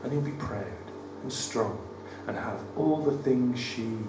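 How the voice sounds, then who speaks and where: Man, West Midlands accent, croaky, wry poetry reading, noisy live room. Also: medium pitch, a little slow, distant mic. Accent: West Midlands English. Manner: wry, self-deprecating banter between poems. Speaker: performance poet. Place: studio theatre at a live cabaret event. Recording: roomy live venue, mic from afar, audible room noise. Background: audience ambience.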